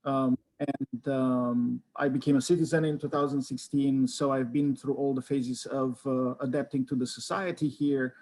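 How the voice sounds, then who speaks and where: A man from Romania, an associate professor, introducing himself from home on a video call in a measured way with frequent fillers. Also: mid-range voice, little pitch movement, darkish tone, rough recording.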